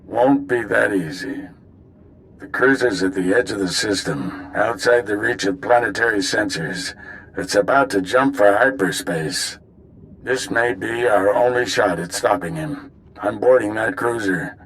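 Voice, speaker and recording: An actor playing an older, resolute mentor knight in a video game, speaking tersely with weary resolve. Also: male voice, fairly low pitch, animated, measured pace, a bit bright, slight background noise, rough recording. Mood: resolute, solemn. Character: resolute older male Jedi mentor, gruff and fond. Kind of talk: video game cutscene acting.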